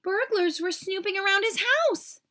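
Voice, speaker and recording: A woman narrating a children's story aloud in an expressive, clearly enunciated storytelling manner. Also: very high-pitched, normal speed. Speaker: storybook reader.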